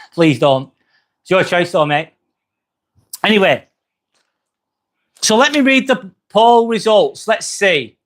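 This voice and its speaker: Chatty Manchester-accented man, slightly high voice. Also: expressive, takes it slowly, pauses often. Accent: northern English, near Manchester. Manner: chatty, friendly, frequent "um" fillers. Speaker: livestream host. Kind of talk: casual livestream chat reading comments.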